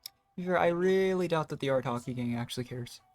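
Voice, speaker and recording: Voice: mid-range voice, fast, darkish tone, soft background music. Casual game streamer musing along.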